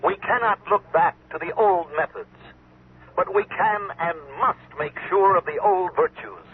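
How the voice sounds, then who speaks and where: An actor playing a smooth, vain politician who is privately snobbish and anxious, in a radio drama. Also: male voice, sits high, normal speed, a crowd in the background, natural voice. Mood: earnest oratory. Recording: narrowband, noisy old radio recording.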